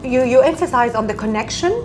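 A woman's voice: a little high, fast, slight background noise. A woman in her thirties, a radio interviewer asking questions with enthusiasm, recorded in an office by a camera at a distance. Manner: enthusiastic, repeats "amazing". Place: office, indoors.

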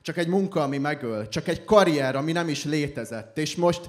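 A man's voice: a little high, animated. A young man from Hungary reciting slam poetry live with an emphatic, dramatic delivery. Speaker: slam poet performing.

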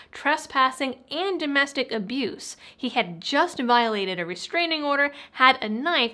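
A woman's voice: high voice, bright, forceful tone. A young adult woman delivering a scripted news rundown as host, with wry, informal asides. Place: small indoor studio setup.

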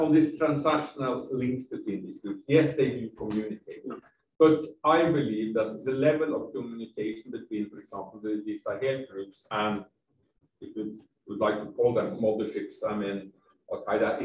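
A man's voice: on the low side, a little mellow. Echoey, emphatic man on a panel. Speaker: expert panelist. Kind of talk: unscripted analytical panel commentary. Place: echoey event room. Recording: echoey room, distant mic, faint noise, dull.

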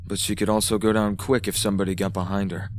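A man playing a wry, world-weary con artist in a game fan dub, speaking in a dry, deadpan way. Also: lowish voice, fast, slight background noise, lightly acted. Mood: matter-of-fact.

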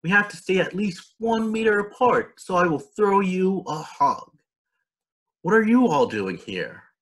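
A man's voice: high voice, big pitch swings, measured pace, fairly bright tone, pitched above the performer's own voice, exaggerated voice. A man voicing a cheerful, caring young girl in a storybook, upbeat and earnest. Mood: delighted.

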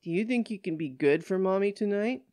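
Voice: medium pitch, expressive, brisk, darkish tone, dry recording, studio quality, pitched above the performer's own voice, close to an everyday voice. A narrator voicing a stern, old-fashioned male police officer, condescending and firm, in an audiobook story. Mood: patronizing.

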